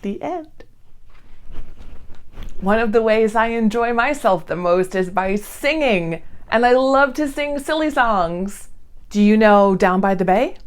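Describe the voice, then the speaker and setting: Lady kids show host, high voice, takes her time, animated storytime talk. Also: slow pace. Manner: animated, addressing children directly. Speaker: children's read-along host. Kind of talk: children's storytime presenting.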